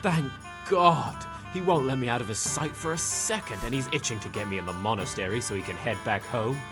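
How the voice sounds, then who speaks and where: An actor plays a lusty young medieval gentleman with a taste for drink. His talk is florid and wry, in video game cutscene style. Loud music runs behind his voice. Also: medium pitch, brisk, natural voice. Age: young adult.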